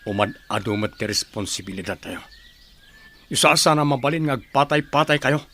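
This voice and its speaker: An actor playing a stern, impatient leader of a secretive group in an Ilocano radio drama. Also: male voice, mid-range voice, animated, fairly quick, creaky, sharp tone, full character voice. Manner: commanding, impatient.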